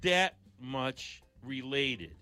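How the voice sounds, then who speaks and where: Greek-born older guy on a radio show, native greek speaker, bright sharp voice, chatty and grateful. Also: medium pitch, extremely slow, smooth, soft background music. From Greece. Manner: animated, grateful, chatty with restarts. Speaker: guest musician. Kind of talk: radio interview.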